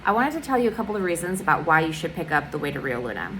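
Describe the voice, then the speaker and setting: A woman author speaks in a lower register with an upbeat, energetic delivery, pitching her novel straight to the camera. Faint ambient noise hums behind her. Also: very fast, poor audio. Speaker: children's book author. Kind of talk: promoting her book to the camera.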